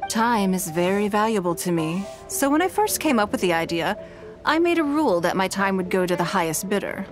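A woman voicing a poised, shrewd businesswoman in a game, speaking in a composed, measured, elegant way. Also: mid-range voice, big pitch swings, music in the background, close to an everyday voice. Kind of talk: game dialogue.

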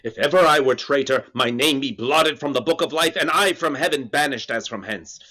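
A man playing an aging duke grieving his exile, speaking the verse in a sorrowful, measured way over a webcam. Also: medium pitch, bright, forceful tone. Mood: earnest. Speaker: actor. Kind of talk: staged Shakespeare reading. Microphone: webcam on a video call.

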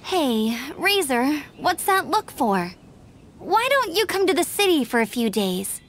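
A woman's voice: high voice, big pitch swings, a little slow, fairly bright tone, slight background noise, full character voice. A woman voicing a caring, earnest teenage girl knight in an anime-style fantasy game. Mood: concerned, encouraging. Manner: earnest, friendly, concerned.

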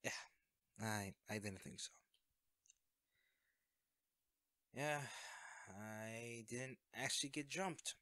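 A man's voice: mid-range voice, takes it slowly, bright, forceful tone, dry recording. A man acting a young superhero in an audio roleplay, a sheepish, caring best friend who speaks conversationally and a little hesitantly. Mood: flustered, sheepish.

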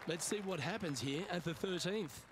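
A man playing a TV golf commentator in a video game, calling play in a measured, conversational way. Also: high-pitched, a crowd in the background, background noise, natural voice. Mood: measured commentary.

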